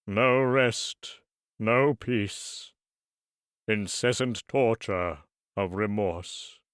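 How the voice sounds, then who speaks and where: A man voicing a mournful old male ghost bound in chains, speaking slowly and gravely. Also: fairly low pitch, fairly bright tone, no room sound, studio quality, pitched below the performer's own voice. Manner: slow, drawn-out and grave.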